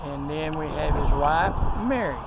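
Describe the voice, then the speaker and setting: A man's voice: a little high, moderate pace. A middle-aged man with a Texan accent gives a folksy, easygoing walking tour outdoors, filming with a handheld tripod camera. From Texas. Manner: folksy, easygoing, with frequent fillers. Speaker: video host. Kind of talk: casual walking tour narration. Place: outdoors at a rural roadside cemetery, windy. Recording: outdoor wind and road traffic noise.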